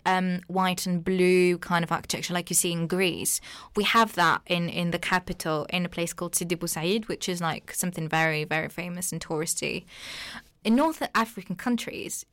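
A young woman from Tunisia, an Arabic speaker, chatting as a podcast guest in a lively way, laughing often and using lots of fillers. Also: fairly low pitch, no echo, studio quality. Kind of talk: casual podcast chat.

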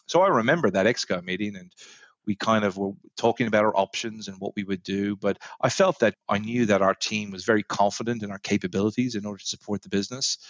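A middle-aged Irish man, a technology executive, answering podcast interview questions in a measured way with frequent fillers. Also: on the low side, dry recording, muffled recording. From Ireland.

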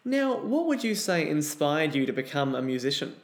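A man's voice: fairly high pitch, very animated, normal speed. A man hosting an interview show, introducing guests and asking prepared questions. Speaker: podcast host and interviewer.

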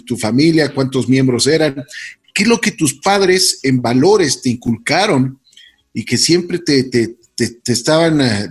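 A man's voice: a little high, husky. A man hosting an interview, introducing his guest with effusive praise and repeated phrases. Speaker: host.

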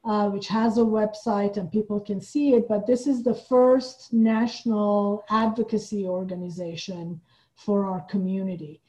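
A woman's voice: medium pitch, warm tone, lo-fi sound. A woman from Iran speaking thoughtfully and hesitantly as a guest in a conversational interview. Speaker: guest, playwright and theater artistic director.

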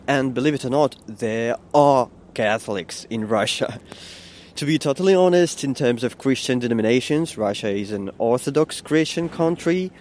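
A man's voice: medium pitch, a little mellow, slight background noise. A Ukrainian man in his late twenties hosting a podcast, talking candidly while he walks to work outdoors, with fillers and restarts. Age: 20s. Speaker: podcast host. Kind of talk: casual walking monologue. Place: outdoors on a city street, walking.

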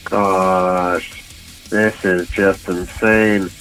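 A man playing a bumbling radio caller, slow drawn-out rambling, far from the mic. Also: low voice, little pitch movement, fairly bright tone, full character voice. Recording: distant mic, music behind.

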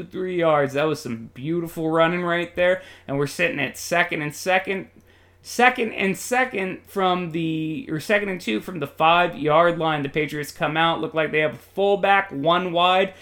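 A man's voice: high voice, measured pace, fairly bright tone. A man doing play-by-play football commentary in a colloquial, jokey, exclamatory style. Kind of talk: excited sports commentary.

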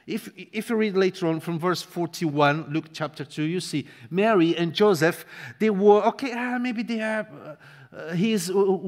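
A man who grew up speaking Portuguese delivers an impassioned sermon in English. His voice sits on the high side for a man, climbing into loud stresses and dropping to near-quiet asides. The sound carries a long reverb from a large church hall. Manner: impassioned, swings from loud to hushed, repeats words for emphasis. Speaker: preacher.

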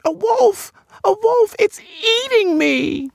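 A small, childlike girl creature from a dark storybook, acted out by a male narrator. The voice sits high and swoops around widely, with a creaky edge as it taunts, pleads and wails in fear. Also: very animated, a little slow, dry recording, clean studio sound, exaggerated voice. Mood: terrified wailing.

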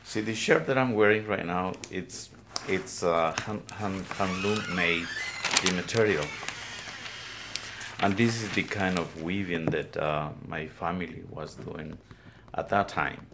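An older man with a Guatemalan Spanish accent recounts his life as a weaver in an interview, speaking plainly and haltingly in his studio. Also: low-pitched, background noise. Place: weaving studio, indoors.

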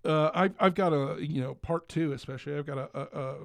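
A man co-hosting a podcast in casual, halting, wry chat. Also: on the high side, gravelly, dry recording, studio quality. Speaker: podcast co-host.

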